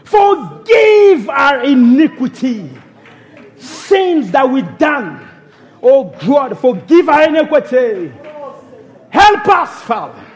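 A young man leads a fervent prayer with an unusually high voice that rises and falls dramatically. He speaks slowly, drawing out his pleas. He breaks his phrases with repeated cries to God. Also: sing-song, shouting. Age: young adult. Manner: fervent, pleading, crying out "oh God" between phrases. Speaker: minister.

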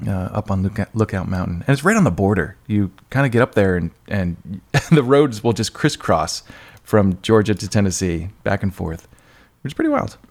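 A man hosting a podcast interview in casual conversation, chatty, agreeable and quick to affirm. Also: lowish voice, expressive, a little mellow. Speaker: podcast host interviewing an author.

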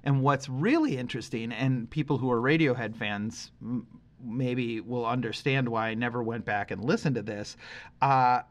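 Male podcast host, forties, average pitch. Conversational music talk, opinionated and emphatic, wanders through his points. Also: normal speed. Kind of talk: casual conversational music discussion.

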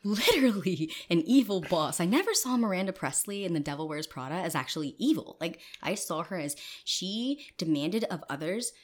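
A woman with a slightly raspy voice, chatting casually as a guest and telling frank, rambling stories with frequent laughter. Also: on the low side, very animated, moderate pace, hardly any pauses. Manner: giggly, frank, rambling storyteller. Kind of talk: casual chat.

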